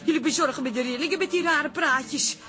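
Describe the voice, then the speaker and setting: A woman's voice: sits high, fairly quick, bright, forceful tone. An actress playing a weary, dry-witted pub landlady who is under the weather with a cold, in a Dutch radio drama. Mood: irritated. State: has a cold, sneezing now and then.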